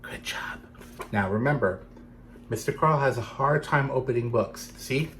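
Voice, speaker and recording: A man's voice: mid-range voice, measured pace, fairly bright tone, slight background noise. A man reading a picture book to children, playfully addressing young listeners as he goes. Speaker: storytime reader for children.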